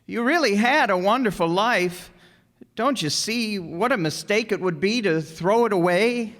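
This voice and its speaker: An actor in a radio play as a gentle, flustered apprentice angel, earnest and hesitant. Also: male voice, high voice, animated, a little slow. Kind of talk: live radio play acting. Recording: stage hall with long reverb.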